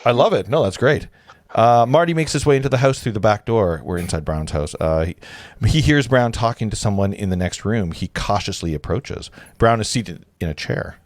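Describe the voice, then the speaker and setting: Animated man casually narrating a script. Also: lowish voice, normal speed, dry recording. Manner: conversational, chatty. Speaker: host reading stage directions.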